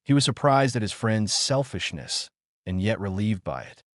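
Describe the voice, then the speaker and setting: A man narrating a dramatic audiobook in a measured, formal literary manner. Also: lowish voice, animated, no room sound, studio quality.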